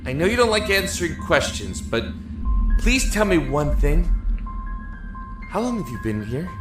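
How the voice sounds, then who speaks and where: An actor playing an earnest, anguished young man locked in a dark cell, speaking haltingly and emotionally in an audio drama. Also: a little high, very animated, reverberant. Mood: weary, bitter. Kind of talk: audio drama acting and first-person narration. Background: music.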